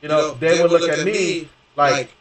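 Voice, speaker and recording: A young man with a somewhat high voice tells a long personal story and talks on casually, swearing and circling back with "you know what I mean." He sits well back from the mic, and the room rings with heavy echo. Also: moderate pace. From Houston, Texas. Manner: profane, rambling, many fillers and restarts. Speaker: gaming streamer. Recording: very echoey room, distant from mic.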